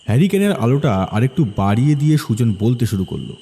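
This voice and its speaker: A man's voice: medium pitch, very animated, quick pace, gravelly, mellow, husky. A man narrating a scripted horror story as its storyteller. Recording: long reverb, music behind.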